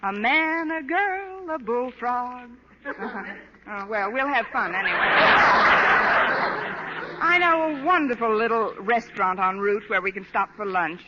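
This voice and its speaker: Old radio comedy, very noisy and dull: sardonic schoolteacher, high-pitched, lovelorn, dry asides. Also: female voice, lively intonation, measured pace, pauses often. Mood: cheerful, wry. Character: wry adult female schoolteacher, sarcastic and lovelorn. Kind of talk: 1940s radio comedy acting.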